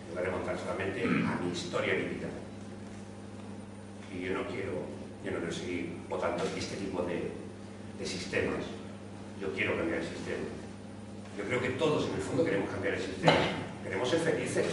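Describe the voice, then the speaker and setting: A man in his sixties from Córdoba, giving an impassioned talk at a neighborhood meeting, full of rhetorical questions. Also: lowish voice. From Spain (Córdoba). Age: 60s. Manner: rhetorical questions, emphatic and earnest. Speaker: speaker at a public talk. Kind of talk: impassioned public speech. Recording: echoey hall, distant mic, background noise.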